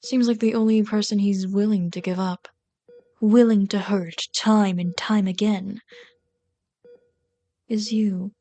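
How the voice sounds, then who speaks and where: A woman's voice: mid-range voice, steady tone, slow, pauses often. A woman playing a bitter but caring villain queen in an ASMR roleplay, scolding and sardonic, often exasperated. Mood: bitter, concerned. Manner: scolding, sardonic, exasperated, dropping to hushed asides. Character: bitter, caring female villain rat queen tending a captive sidekick. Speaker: voice actor in an audio roleplay.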